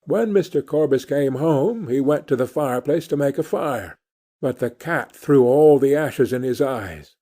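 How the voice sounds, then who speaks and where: Male narrator for children's fairy tale audiobook, dark mellow tone, scripted read. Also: medium pitch, expressive, measured pace. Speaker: audiobook reader.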